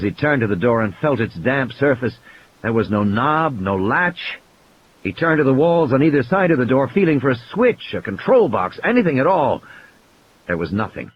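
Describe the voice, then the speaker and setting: A man's voice: mid-range voice, a little hiss or hum, rough recording. A man reading a scripted adventure story as a dramatic audiobook narrator. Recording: music and sound effects behind the voice. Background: music and background sound effects.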